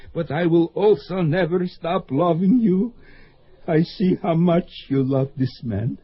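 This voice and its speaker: A man's voice: fairly high pitch, slightly rough, dry recording, full character voice. A man acting a stern yet loving Russian father in an old radio drama. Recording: dull old radio recording.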